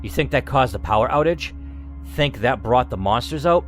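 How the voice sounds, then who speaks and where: A man playing a gruff, menacing wounded survivor who speaks bluntly and hazily in a horror game. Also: medium pitch, expressive, moderate pace, faint music behind, natural voice. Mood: dazed. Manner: slurred, blunt.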